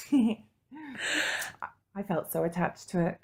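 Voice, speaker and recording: A woman's voice: fairly low pitch, takes it slowly, mellow. A woman co-hosting a podcast, talking casually and candidly with the odd swear word. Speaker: podcast co-host. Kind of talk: casual chat.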